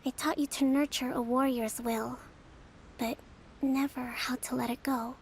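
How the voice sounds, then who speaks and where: A woman voicing a calm young female leader of an island army, speaking in a composed, measured, authoritative way. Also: sits high, slight background noise. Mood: gentle. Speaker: game character. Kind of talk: video game voice acting. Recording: game audio with faint music.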